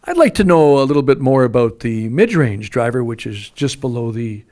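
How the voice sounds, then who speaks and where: A man's voice: medium pitch, expressive, normal speed, dark tone. A man interviewing someone about a product, asking attentive questions and giving short acknowledgements.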